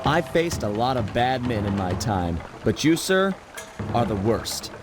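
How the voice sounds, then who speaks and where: Lively, cool young thief hero. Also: male voice, medium pitch, measured pace. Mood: defiant. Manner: wry, casual, self-assured. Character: cool, confident young male animal master thief hero. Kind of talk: video game hero acting. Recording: music behind the voice.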